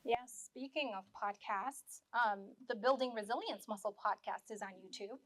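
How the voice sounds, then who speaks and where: Woman, fairly high voice, a bit hoarse, dry-mouthed, earnest panel talk. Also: normal speed, rough recording. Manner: earnest, reflective, many restarts. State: dry mouth, slightly losing voice. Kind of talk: live panel discussion.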